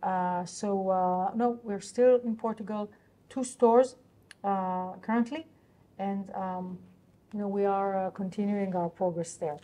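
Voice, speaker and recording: Woman, mellow dark tone, medium pitch, halting explanatory answers, corporate earnings call. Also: steady tone. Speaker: company executive answering investor questions.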